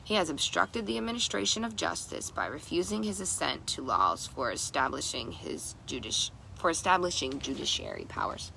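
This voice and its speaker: A woman reading a historical document aloud, haltingly, sometimes stumbling over long words and repeating them. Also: low voice, very animated, vocal fry, pushed and bright, slight background noise, low-quality recording. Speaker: reader.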